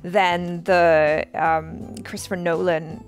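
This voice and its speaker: A woman's voice: fairly low pitch, flat delivery, takes it slowly. A young woman hosts a casual reaction session on a headset mic in a small studio. She speaks conversationally, working out her thoughts as she goes, with music in the recording. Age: young adult. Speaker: reaction show host. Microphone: headset boom mic.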